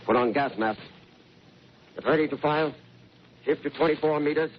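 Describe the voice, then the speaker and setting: Actor as a clipped artillery officer, muffled recording. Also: male voice, on the high side, moderate pace, lightly acted. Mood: commanding. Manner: barked, clipped commands. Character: male artillery officer barking firing orders, brisk, commanding. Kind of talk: 1930s radio drama acting. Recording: muffled, hissy 1930s radio broadcast.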